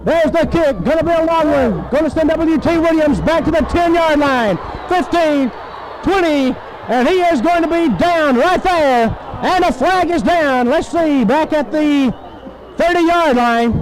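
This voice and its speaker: A man calling football play-by-play on 1970s radio, excited and emphatic, in short phrases. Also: extremely high pitch, a little hiss or hum, poor audio. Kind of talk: live football play-by-play commentary. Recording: 1970s AM radio sports broadcast.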